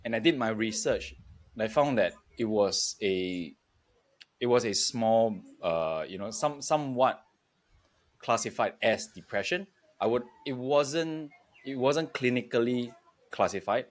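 A man with a fairly low voice talks reflectively as a podcast guest. He moves forward in short, halting phrases, pausing as he puts his thoughts together. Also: expressive. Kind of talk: reflective interview talk.

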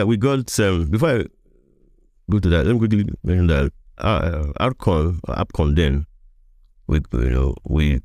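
Very deep-voiced Nigerian man, mellow. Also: dry recording, clean studio sound. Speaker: guest, veteran voiceover artist. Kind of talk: interview, recounting past events.

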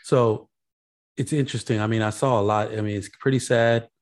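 A man's voice: on the low side, fairly even intonation, fairly quick. A man in his mid-thirties co-hosting a casual podcast, blunt and joking, with some swearing. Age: 30s. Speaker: podcast co-host.

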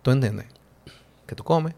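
A man's voice: mid-range voice, lively intonation, unhurried, gravelly, dark tone. Dominican man, guest, casual talk, mid-range voice, rambling with fillers. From Dominican Republic. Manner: talks things through with many fillers and "¿tú entiendes?" asides. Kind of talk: casual philosophical conversation.

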